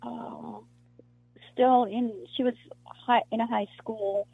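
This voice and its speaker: A woman from South Africa, an actress being interviewed, talking in a halting way with many restarts and fillers. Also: on the high side, fairly even intonation, gravelly, breathy edge, no room sound. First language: Afrikaans. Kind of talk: casual interview conversation. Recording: muffled lo-fi dry recording.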